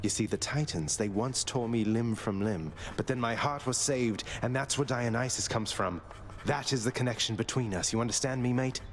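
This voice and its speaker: A man's voice: mid-range voice, normal speed, few pauses, lightly acted. A man voicing a wry, courteous young prince of the underworld in a video game, dry and understated. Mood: sly, playful. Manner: dry, understated, casual politeness. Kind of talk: video game character acting.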